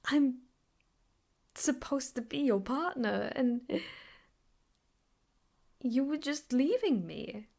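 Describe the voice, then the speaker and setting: Slow, high-voiced possessive yandere girlfriend acted by a woman. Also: warm tone, heavily acted. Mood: defensive, jealous. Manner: slow, halting, intimate, often slipping into whispers. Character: obsessive, possessive yandere ex-girlfriend holding her captive lover. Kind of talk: improvised ASMR roleplay.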